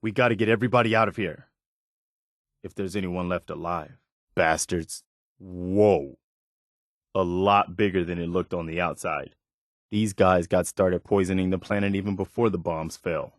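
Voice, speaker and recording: A weary but principled militia soldier from a post-apocalyptic video game, played by a male actor. His voice is on the low side and recorded dry and close. He talks sincerely and plainly, like a loyal companion on the road. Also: fairly even intonation, normal speed, no room sound, natural voice. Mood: watchful, matter-of-fact. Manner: sincere, plain-spoken, conversational.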